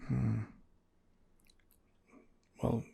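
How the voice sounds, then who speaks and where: A man doing softly murmured ASMR game roleplay, breathy and husky, with mild, hesitant, unhurried replies close to the microphone. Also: no room sound. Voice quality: breathy, husky, half-whispered. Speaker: gamer role-playing as his character.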